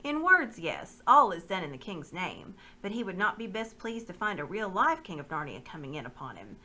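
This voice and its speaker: A woman's voice: fairly low pitch, very animated, normal speed, pushed and bright, exaggerated voice, low-quality recording. A woman reading the part of a loyal middle-aged nobleman, speaking earnestly and courteously.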